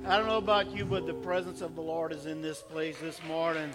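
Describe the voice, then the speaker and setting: Fervent, high-voiced pastor rallying a congregation. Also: male voice, little pitch movement, fairly quick, music in the background. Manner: fervent, exhorting crowd, chuckling asides. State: slightly hoarse from worshiping. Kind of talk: church service exhortation and announcements. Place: church sanctuary. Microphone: handheld or stage microphone.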